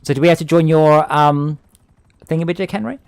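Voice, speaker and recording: A man with a southern English accent chatting excitedly and jokily with friends while playing a game online. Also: a little high, fairly quick, gravelly, smooth, no echo. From England. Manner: chatty, excitable, jokey, tangents. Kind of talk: casual gaming chat.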